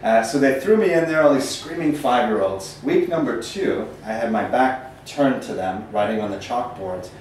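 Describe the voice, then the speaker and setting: A man's voice: mid-range voice, normal speed, few pauses, a little mellow. Man with an American accent in an echoey room. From Northern Ireland. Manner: rambling, frequent ums, self-deprecating humor. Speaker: poet giving a talk. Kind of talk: casual storytelling lecture. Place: small gallery room, indoors. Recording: echoey room from far off, faint noise.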